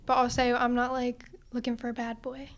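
Woman, podcast guest, high voice with a flat tone, easygoing, laughs easily. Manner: easygoing, agreeable, quick to laugh. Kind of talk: casual podcast chat.

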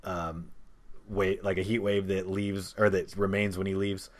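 A man's voice: sits low, little pitch movement, a little slow. A man hosting a casual movie podcast, opinionated and jokey as he steers the discussion. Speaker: podcast host.

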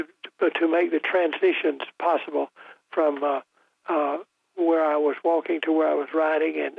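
An older man hosting a call-in radio talk show, talking in a halting way with many fillers and restarts, his voice a little rough. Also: fairly high pitch, no echo. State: voice slightly rough after illness. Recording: dry, tinny broadcast audio.

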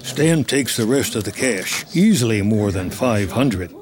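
A man's voice: fairly low pitch, lively intonation, unhurried. A man reading scripted screenplay narration of a film story. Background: music.

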